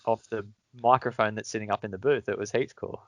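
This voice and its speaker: A man's voice: fairly low pitch, steady tone, a little fast, a bit bright, dry recording. A man hosting a podcast, easygoing and rambling, often laughing along. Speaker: podcast host. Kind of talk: casual podcast chat.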